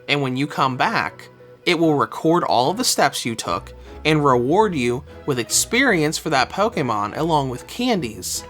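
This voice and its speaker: A man's voice: on the high side, a bit bright. A man narrating a scripted review of a game controller, a little under the weather, speaking with enthusiasm.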